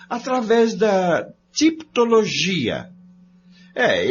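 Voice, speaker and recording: A man with a Brazilian accent narrating a scripted radio drama, addressing the listeners. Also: on the high side. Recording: dull lo-fi audio with background noise.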